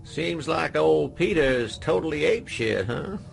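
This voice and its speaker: A man playing a sardonic dead old hacker cowboy in a radio drama, gravelly and folksy. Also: on the high side, expressive, unhurried, soft background music, heavily acted. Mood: gleeful. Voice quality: gravelly, creaky.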